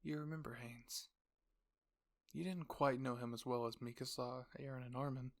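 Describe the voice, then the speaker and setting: A man's voice: medium pitch, steady tone, moderate pace. A man reading scripted second-person story narration.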